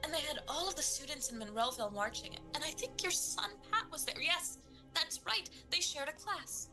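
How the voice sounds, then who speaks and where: A woman playing a boastful, chatty, overbearing mother in a comedic audio drama, gushing and quick to interrupt. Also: sits high, lively intonation, over music. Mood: excitable rambling. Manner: gushing, interrupting, nervously dismissive.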